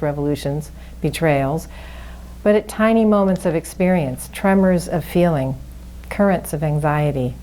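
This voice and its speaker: A woman with a soft, low-pitched voice moderates a public event. She reads a formal introduction in measured, literary phrasing. A faint hiss of noise runs underneath.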